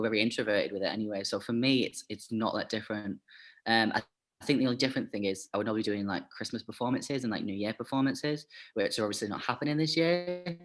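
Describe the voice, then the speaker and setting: A man's voice: fairly low pitch, fairly bright tone. A man chatting as an interview guest, talking casually with lots of fillers and "like".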